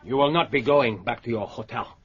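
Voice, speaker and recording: An actor playing a wily, jovial Japanese spymaster in a radio drama, with a Japanese accent and a formal, authoritative, deliberate delivery. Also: male voice, medium pitch, fairly bright tone, lightly acted. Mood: commanding. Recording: dull, noisy old radio recording.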